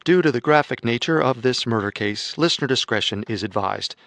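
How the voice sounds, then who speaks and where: Measured male podcast host. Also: mid-range voice, animated, gravelly, a bit bright, dry recording. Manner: measured, announcer-like. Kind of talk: scripted narration.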